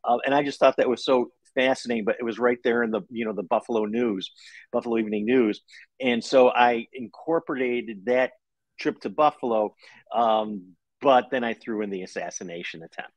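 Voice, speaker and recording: A man's voice: medium pitch, normal speed, dry recording, highs cut off. A middle-aged man, an author, talking casually and telling stories as an interview guest on a video call. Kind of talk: casual conversational storytelling. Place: indoor living room at home.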